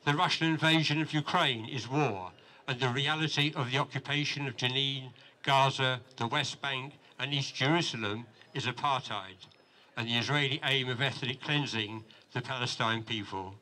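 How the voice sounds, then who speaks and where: An older man, around his seventies, reads a prepared statement at a rally. His voice is bright and forceful at a middling pitch. He speaks into a handheld mic out in a city street. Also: moderate pace, rough recording. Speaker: campaign group officer reading a letter. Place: outdoors in a busy city street. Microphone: handheld microphone held close to the mouth.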